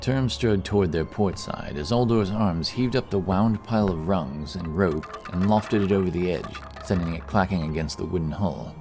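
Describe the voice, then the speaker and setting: A man narrates a fantasy audiobook in a low-pitched voice. The delivery is scripted storytelling. Music is plainly present behind the narration. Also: moderate pace, hardly any pauses.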